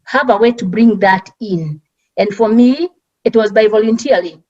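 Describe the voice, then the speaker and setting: A woman's voice: medium pitch, darkish tone. Kenyan woman, lo-fi call audio. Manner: halting, restarts often, earnest. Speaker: guest speaker. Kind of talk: community talk panel.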